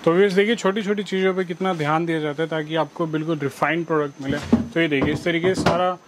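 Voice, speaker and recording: Male host, quick talk, highish voice, clear warehouse background noise. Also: steady tone. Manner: addresses viewers directly, brief agreeing interjections. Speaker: show host. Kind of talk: quick hosting chat. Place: indoor warehouse.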